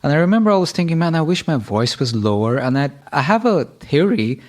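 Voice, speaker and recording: A man's voice: fairly high pitch, very animated, fairly quick, a little mellow. A man hosting a conversational interview, chatty and friendly, drifting into rambling tangents. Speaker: podcast host.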